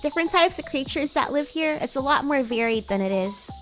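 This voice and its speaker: Woman playing a high-voiced bratty demon girl. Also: animated, a little fast, soft background music. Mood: chatty explaining. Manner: playful, giggly, rambling, teasing. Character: bratty, teasing young female demon classmate. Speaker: VTuber streamer. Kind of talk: improvised in-character roleplay livestream chat.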